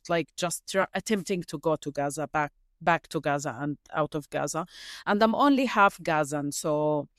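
A young woman from Palestine, a writer answering interview questions in a thoughtful, halting way as she searches for words. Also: fairly low pitch, clean tone, no room sound, studio quality.

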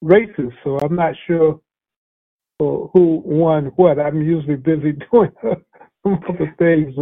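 A man hosting a casual radio interview, talking haltingly with many fillers and restarts. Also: on the high side, husky. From United States. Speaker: radio host. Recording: tinny phone-like radio audio.

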